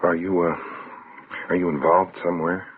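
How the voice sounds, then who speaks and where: An actor playing an intense, anxious announcer turned investigator who narrates a vintage radio suspense drama. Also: male voice, sits low, level tone, moderate pace, husky, narrow, tinny audio. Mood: probing, guarded. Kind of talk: 1940s-50s radio suspense acting.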